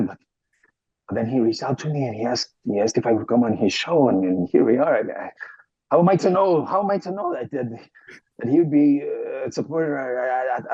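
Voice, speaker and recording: An actor playing a nervous captive who pleads and stammers in a husky voice, repeating himself. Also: male voice, medium pitch, fairly quick, dark tone, dry recording, lo-fi sound. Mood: nervous pleading. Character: nervous, pleading male captive under interrogation.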